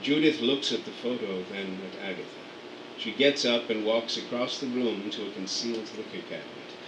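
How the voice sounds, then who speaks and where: A man narrating radio play scene directions in a steady, matter-of-fact way. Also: fairly low pitch, normal speed. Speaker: narrator reading stage directions. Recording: roomy, distant mic, air conditioning hum.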